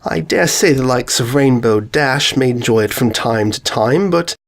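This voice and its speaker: A man's voice: medium pitch, normal speed, vocal fry, close to an everyday voice. A man voicing a prim, haughty female pony who scolds in a posh, snooty way. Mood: scolding.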